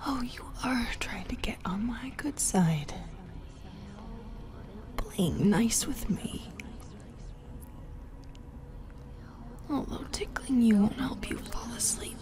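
A woman voicing a teasing, possessively affectionate female sleep paralysis demon in an ASMR roleplay, with a breathy, playfully menacing whisper. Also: on the high side, animated, takes it slowly, a little mellow. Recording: music behind the voice.

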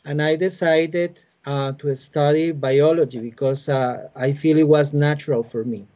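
A Costa Rican man in his forties speaks English with a Spanish accent, his voice pitched a little high but soft and warm. He explains things patiently, pausing on frequent "uh"s. The sound is muffled, like a laptop mic on a video call. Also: fairly even intonation. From Costa Rica. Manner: patient, halting, many "uh" fillers. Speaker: biologist and university teacher. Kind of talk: explanatory interview answers. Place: home room with bookshelves. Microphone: laptop webcam on a video call.

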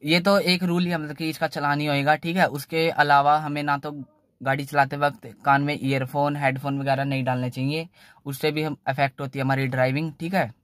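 A young man talks in a slightly high voice, and the sound is close and dry inside a small car. He chats loosely and circles back on his points, adding "theek hai" after nearly every sentence, recorded on a phone. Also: little pitch movement, fairly quick, fairly bright tone, no echo. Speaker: car channel host. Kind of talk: casual how-to talk in a parked car.

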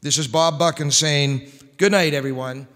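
A middle-aged American man announces like an old-time radio host, formal and showman-like. His voice sits fairly high and rings out from a stage microphone with long hall reverb. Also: steady tone, moderate pace, a bit bright. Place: large church hall, on stage before a live audience. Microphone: microphone on a stand.

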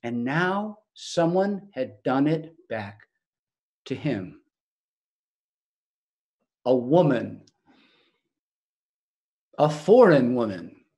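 A man's voice: medium pitch, big pitch swings, darkish tone. A man playing an awestruck disciple. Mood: astonished. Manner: reflective, wondering, with emphatic pauses. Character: awestruck male disciple recounting his teacher. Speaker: actor in a biblical monologue. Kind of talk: dramatic first-person storytelling.

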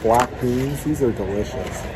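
Man, thirties, casual food vlogger, chatty between bites, mid-pitched voice, phone mic with restaurant chatter behind. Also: a little slow. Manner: chatty, eating between lines with satisfied hums. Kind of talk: casual food review vlog. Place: indoor restaurant dining room. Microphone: phone held at arm's length. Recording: phone audio with clear restaurant noise. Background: restaurant noise.

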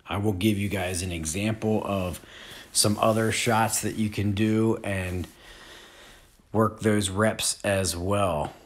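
A man in his forties giving a relaxed, unhurried disc golf lesson with casual fillers. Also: low-pitched. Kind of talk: casual instructional voice-over. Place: outdoor field.

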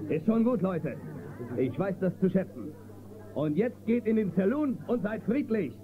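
An actor playing an upright, steady space-western marshal in a radio play, earnest and firm. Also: male voice, high voice, measured pace, crowd noise. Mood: firm, reassuring. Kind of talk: German radio play acting. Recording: tinny, noisy old cassette copy.

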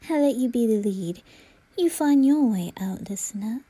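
A bratty young nobleman played by a woman speaks slowly in a mellow, high voice. He teases and soothes in an intimate ASMR style, slipping into breathy asides and soft giggles. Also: no echo, full character voice. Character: teasing, tender young male aristocrat, bratty earl. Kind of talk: ASMR roleplay addressed to the listener.

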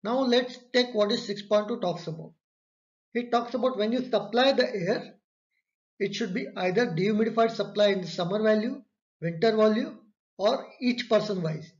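A man's voice: high-pitched, normal speed, darkish tone. A man explains slides in an online course. He talks through each point and repeats key phrases for emphasis. The recording is slightly dull and lo-fi. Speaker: instructor. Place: indoor room, webcam overlay on slides.

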